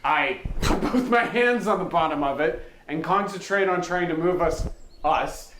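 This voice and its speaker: A man's voice: on the high side, normal speed, fairly bright tone, a little hiss or hum. A man playing a tabletop game, chatting casually as he says what his character does. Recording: roomy sound with people around.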